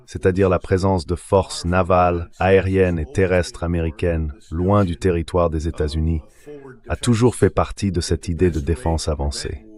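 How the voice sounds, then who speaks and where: A man's voice: deep voice, darkish tone, lightly acted. A man's synthetic dubbing voice, speaking as a guest giving translated interview commentary.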